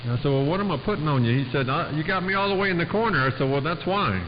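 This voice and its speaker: A man's voice: on the high side, racing. Folksy radio operator man, noisy muffled audio. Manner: folksy, rambling, uses radio lingo. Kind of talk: casual radio chat between operators. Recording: muffled radio transmission with clear static noise.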